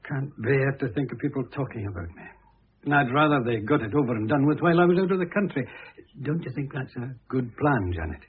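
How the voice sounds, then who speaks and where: An actor playing a self-important, self-pitying middle-aged divorced husband, pompous and long-suffering, in radio drama style. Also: male voice, mid-range voice, lively intonation, fast, breathy edge. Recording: muffled lo-fi old radio recording.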